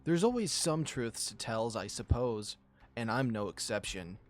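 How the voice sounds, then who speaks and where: A proud lion emperor from a visual novel, voiced by a man, regal and stoic. He speaks with measured, smug condescension at a middling pitch. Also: lively intonation, close to an everyday voice. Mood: coolly amused. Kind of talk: visual novel character acting.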